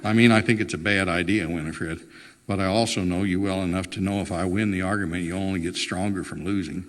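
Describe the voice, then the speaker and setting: A man's voice: low voice, fairly even intonation, a little fast, long reverb tail, voice pushed down, close to an everyday voice. A man voicing a reluctant, plainspoken husband in a storybook reading, stubborn, wry and resigned. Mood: resigned, tender.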